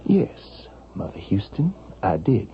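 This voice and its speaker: An actor playing a scheming, smooth-talking husband in a vintage radio drama, coolly polite and insinuating. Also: male voice, fairly low pitch, sing-song, takes it slowly, heavily acted. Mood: menacing. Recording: dull, noisy old radio recording.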